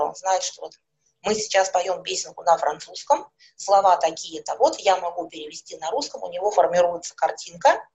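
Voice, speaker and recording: A middle-aged woman, a speech therapist, calmly explaining things with everyday examples in an interview. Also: fairly low pitch, breathy edge, rough recording. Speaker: speech therapist and psychologist guest.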